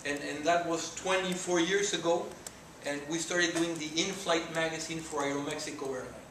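A man in his forties from Mexico with a Mexican accent, giving an earnest talk to students in a lecture room. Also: on the high side. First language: Spanish. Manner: earnest, gesturing while speaking. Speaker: guest speaker. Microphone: far camera. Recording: echoey room from far off, noisy.

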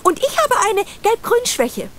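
A woman voicing a chirpy, naive, excitable girlish character in a cartoon adventure game, gushing and giggly in a sing-song way. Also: very high voice, a little slow, slight background noise, heavily acted. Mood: giggly, apologetic.

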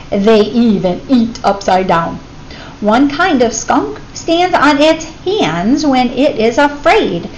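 A woman reading a children's picture book aloud in an expressive teacher's manner, addressing young listeners. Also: a little high, poor audio. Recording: clear background noise.

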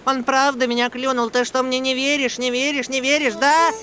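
A woman's voice: high-pitched, flat delivery, normal speed, background noise, lightly acted. Woman voicing a cheeky little cartoon boy monkey. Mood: defensive, upset. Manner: exclamatory, repeats phrases insistently. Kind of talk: children's cartoon dub acting.

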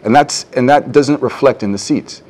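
A man's voice: medium pitch, normal speed, darkish tone. Young guy candidate in a tv debate, earnest, trips over words a bit, lapel mic. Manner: earnest, some stammering restarts. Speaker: political candidate. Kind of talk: debate answer. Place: television studio.

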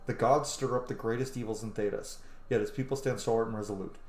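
A man in his thirties doing excitable, casual game commentary and reading game text aloud, swearing freely. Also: mid-range voice, fast. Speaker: streamer playing a video game. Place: small indoor room. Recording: roomy, echoey home recording.

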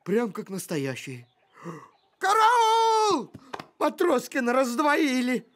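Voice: sits high, very animated, slow pace, a bit bright, slight background noise, exaggerated voice. An actor voicing a cheeky, easygoing talking farm dog in a cartoon, grumbling and teasing in a plain-spoken way. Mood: startled. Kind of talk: animated cartoon voice acting.

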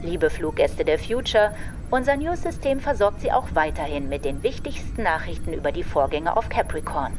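A woman's voice: on the low side, expressive, moderate pace, noisy background, natural voice. A woman playing a formal, composed spaceflight news announcer in a radio play. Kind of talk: scripted in-flight news announcement.

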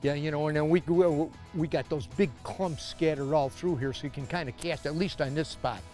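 High-pitched middle-aged American angler. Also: male voice, fairly even intonation, moderate pace, a little mellow, soft background music. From Minnesota, United States. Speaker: fishing show host. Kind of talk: casual on-the-water commentary. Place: on an open fishing boat on a lake.

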